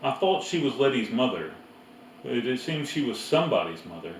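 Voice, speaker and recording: A man's voice: mid-range voice, big pitch swings, moderate pace. A man reading a storybook aloud as narrator. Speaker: reader. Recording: echoey room, distant mic, faint noise.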